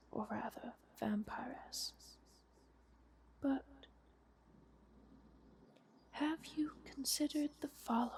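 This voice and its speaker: A woman voicing an ancient, haughty vampire countess in a soft, whispered fantasy ASMR roleplay, with a formal, measured, wry manner. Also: on the high side, slight background noise. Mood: coaxing, negotiating. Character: ancient, youthful-looking vampire countess, haughty, coolly bargaining.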